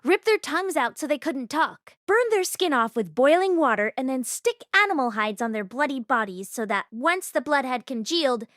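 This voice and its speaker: A woman narrating a story aloud in an expressive read-along style. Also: sits high, moderate pace, few pauses, no room sound, clean studio sound. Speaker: audiobook read-along host.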